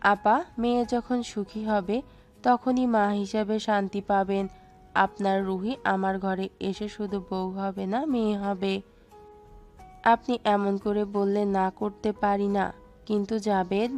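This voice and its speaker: A woman voicing a gentle, caring mother who speaks slowly, with music behind. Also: mid-range voice, flat delivery. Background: soft background music.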